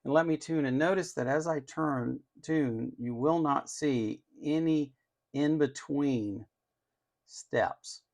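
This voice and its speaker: Creaky-voiced man demonstrating electronics. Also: fairly high pitch, slow, darkish tone. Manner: explanatory, opinionated, emphatic on key words. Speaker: host of an electronics channel. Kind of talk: unscripted tech demonstration.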